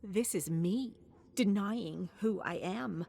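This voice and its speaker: A woman voicing an anguished, defensive teenage girl in a story, halting and full of emotion. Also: mid-range voice, creaky, mellow, voice pushed up. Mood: distressed, defensive.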